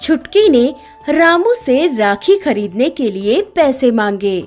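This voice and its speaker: A woman's voice: high voice, big pitch swings, slow pace, darkish tone. A woman narrating a scripted children's moral story as the storyteller. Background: background music.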